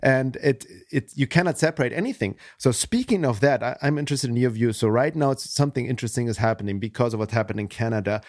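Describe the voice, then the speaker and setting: A man's voice: medium pitch, fast talker, few pauses, a little mellow, no room sound, clean studio sound. A man hosting a podcast interview, speaking in an affirming, reflective way and asking long questions. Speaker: podcast host.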